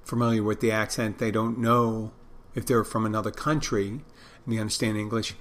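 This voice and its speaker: A man's voice: on the low side, fairly even intonation, moderate pace. A middle-aged man with a Philadelphia accent hosting a podcast solo, talking casually in a meandering, self-deprecating way and trailing off mid-thought. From Philadelphia, United States. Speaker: podcast host.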